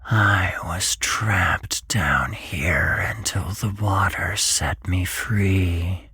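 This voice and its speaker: Man doing a slow, rasping, whispering evil spirit. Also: low-pitched, bright, forceful tone, no room sound, clean studio sound, full character voice. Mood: sinister coaxing. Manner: slow, drawn-out, coaxing. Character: sinister whispering spirit posing as a genie, luring a child.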